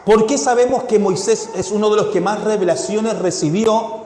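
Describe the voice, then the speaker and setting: High-voiced preacher with mixed Latin American accent. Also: male voice, normal speed, a little hiss or hum. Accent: mixed Ecuadorian, Chilean and Mexican Spanish. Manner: preaching with rhetorical questions, rising to fervent shouts. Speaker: pastor. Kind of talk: sermon. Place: church hall. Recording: echoey hall with long reverb.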